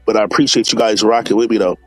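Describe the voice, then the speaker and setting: A man hosting a casual live stream, talking in a relaxed, slangy way and sounding a bit stuffed up from allergies. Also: on the low side, rapid-fire, dry recording, soft background music. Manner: laid-back, slangy, many fillers, greets chat viewers by name.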